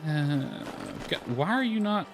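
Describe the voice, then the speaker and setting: A man's voice: high-pitched, animated, unhurried, over music. A man giving casual video game commentary, muttering to himself with mild grumbling and swearing.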